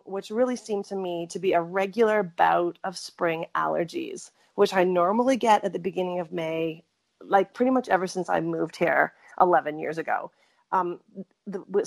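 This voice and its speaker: Guest, middle-aged woman from Canada, lower pitch, chatty and rambling with self-corrections. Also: moderate pace, creaky. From Canada, Saskatchewan. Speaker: interview guest. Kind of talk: conversational storytelling.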